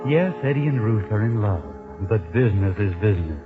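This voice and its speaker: An actor playing a wry, knowing American narrator of a 1940s radio mystery, sardonically addressing the characters. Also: male voice, on the low side, expressive, fairly quick, lightly acted. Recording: muffled 1940s radio recording. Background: music.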